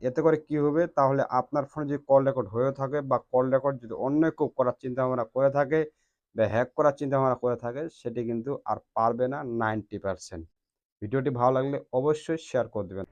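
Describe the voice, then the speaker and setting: A man's voice: medium pitch, fast talker, a little mellow, muffled recording. A man hosting a step-by-step phone tutorial in a repetitive instructional way. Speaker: tech tutorial host.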